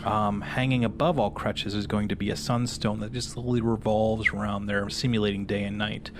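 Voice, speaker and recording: A man working as game master, narrating a fantasy horror tabletop game in a halting, explanatory way. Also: on the low side, little pitch movement, noisy background.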